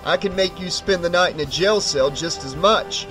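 A stern male police detective played by a male audiobook reader. He is gruff and commanding, with a sarcastic edge and a growl in his delivery. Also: sits high, normal speed, music in the background, natural voice.

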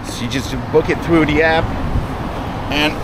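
A man from the Netherlands vlogging his trip and chatting with the driver in a taxi, asking questions and filling his pauses with "uh". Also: a little high. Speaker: travel vlogger. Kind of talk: casual vlog chat. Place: inside a taxi in a city. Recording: loud traffic noise from a car.

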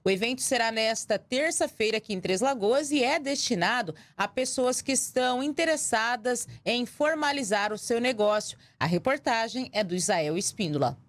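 A woman's voice: on the high side, expressive, normal speed, few pauses. A woman, a news anchor reading a scripted introduction formally in a TV studio.

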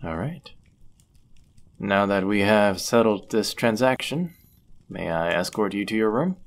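A man acting a deep-voiced, courteous medieval innkeeper. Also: expressive. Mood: gentle, courteous. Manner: gentle, polite, measured, formal wording. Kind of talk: intimate roleplay audio acting, formal old-fashioned speech.

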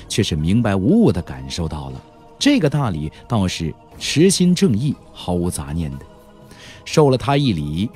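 A man narrating an audiobook in a dramatic storytelling style, expressive, with drawn-out emphasis. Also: on the low side.

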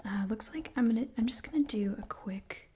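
A woman in her twenties playing a caring, gently scolding doctor in a whispered ASMR roleplay, soft and breathy. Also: a little high, a little fast, slight background noise, lightly acted. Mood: concerned. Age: 20s. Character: caring, chiding young female doctor to mutant heroes. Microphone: close microphone.

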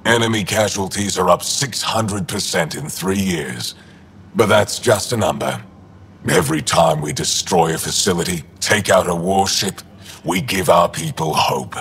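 An actor playing a gruff, war-hardened alien resistance leader in a video game, terse, dry and cynical with a gravelly voice. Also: male voice, deep voice, expressive, a little slow, a bit bright, full character voice. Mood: grim, resolute. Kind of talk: video game dialogue acting.

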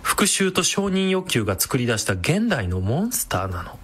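An actress playing a brash, sardonic villainous woman with a sharp-tongued, theatrical manner in a comedic radio drama. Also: rumbling low, sing-song, normal speed, slightly rough, heavily acted. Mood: grave, confiding.

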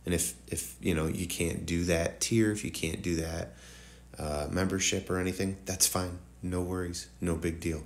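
Low-voiced man, slightly nasal. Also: fairly even intonation, normal speed. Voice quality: slightly nasal, congested morning voice. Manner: informal, rambling, addresses viewers directly. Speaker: host of woodworking tutorial channel. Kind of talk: casual tutorial voice-over.

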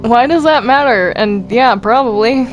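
A woman's voice: on the high side, normal speed, soft background music, rough recording. A woman chatting casually while gaming, giggly and rambling as she reacts aloud. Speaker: player in a Minecraft roleplay group.

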